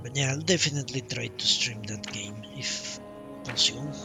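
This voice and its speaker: A man's voice: mid-range voice, moderate pace, gravelly. A man doing casual live commentary on a game he plays, thinking aloud and muttering short questions to himself. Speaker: game streamer. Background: game music.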